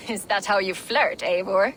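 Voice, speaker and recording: A woman's voice: mid-range voice, normal speed, a bit bright, a little hiss or hum, natural voice. An actress playing a flirtatious, wry, bloodthirsty Viking warrior woman in a video game, with a teasing, playful delivery.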